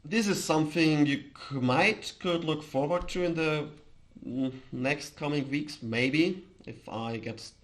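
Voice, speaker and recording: A man from Austria with an Austrian accent and German as his first language, chatting casually on a livestream in a hesitant, halting way. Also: a little high, fairly bright tone. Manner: hesitant, halting, chuckles often. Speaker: live streamer, host.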